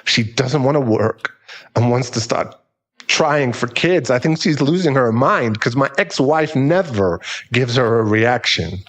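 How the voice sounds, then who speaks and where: A man playing a troubled divorced father and remorseful bully, halting and emotional, in an audio drama. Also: mid-range voice, lively intonation, fairly bright tone. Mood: weary, frustrated. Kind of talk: dramatic audio roleplay acting.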